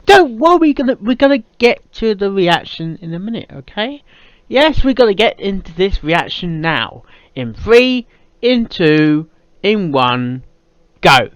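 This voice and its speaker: A woman's voice: mid-range voice, big pitch swings, unhurried, no echo. A woman hosting a reaction video with casual commentary, speaking in short interjections and repeating phrases.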